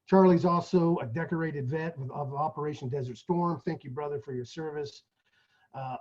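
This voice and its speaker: A slightly dull recording of a man with a fairly high voice. He speaks as an event host making an informal live introduction, effusive and chummy in how he addresses people. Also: mellow. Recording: dull lo-fi audio.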